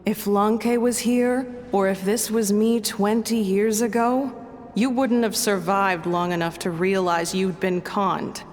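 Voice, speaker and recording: An actress playing a shrewd, principled middle-aged woman in a video game, with a measured, wry, assured manner. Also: medium pitch, fairly even intonation, darkish tone, in a large hall, slight background noise, natural voice. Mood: wry, confident. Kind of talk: dramatic video game voice acting.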